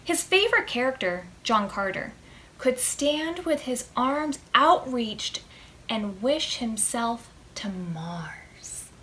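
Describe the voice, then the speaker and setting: A woman reading a picture book aloud to preschoolers as a storytime host, expressive, drawing out words and putting questions to her young listeners. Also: on the high side, takes it slowly, a little hiss or hum.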